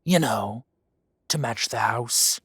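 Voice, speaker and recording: A husky, unhurried dragon crime boss, as a man acts him out lets each threat drip with mocking calm. His voice is close and dry, with no room around it, and every word lands slowly. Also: mid-range voice, no room sound, exaggerated voice. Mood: cold threat. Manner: slow, purring, mocking menace. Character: menacing male dragon posing as a mafia boss. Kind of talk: roleplay audio drama.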